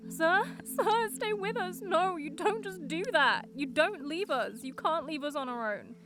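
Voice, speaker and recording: A woman's voice: very high voice, animated, a little slow, over music. A woman with a Northern Irish accent playing an urgent young survivor who takes charge, in an audio drama. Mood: pleading, grieving.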